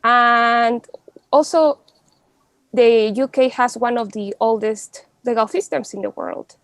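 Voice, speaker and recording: Young woman, podcast guest, chatty, lots of "like", higher voice, dry sound. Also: steady tone, slow, gravelly, no echo. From Mexico. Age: young adult. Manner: chatty, many fillers and restarts. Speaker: podcast guest, law student. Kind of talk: casual interview talk.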